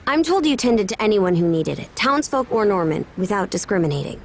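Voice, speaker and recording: Blunt, composed young sea commander. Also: female voice, medium pitch, sing-song, brisk, slight background noise. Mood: stern, measured. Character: stern, pragmatic young woman commanding a sea warrior crew. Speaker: voice actor. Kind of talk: video game cutscene acting.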